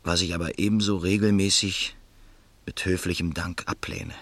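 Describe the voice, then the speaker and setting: A man's voice: deep voice, little pitch movement, moderate pace, a bit bright, no echo. A man narrating a radio play in the first person, hesitant and nervous in dialogue.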